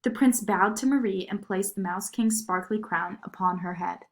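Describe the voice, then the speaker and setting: A woman narrating a children's story in an expressive read-aloud style. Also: mid-range voice, normal speed.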